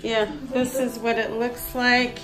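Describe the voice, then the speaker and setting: A woman's voice: fairly high pitch, little pitch movement, takes it slowly, over music. A woman in her thirties narrating a casual vlog into a handheld phone, gushing as she addresses her viewers. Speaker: vlogger. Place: outdoors at a campground and inside cabins. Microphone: handheld phone at arm's length.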